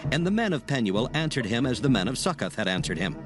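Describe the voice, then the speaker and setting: A man narrating a dramatized scripture recording in a solemn, measured storytelling manner. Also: medium pitch, big pitch swings, music in the background.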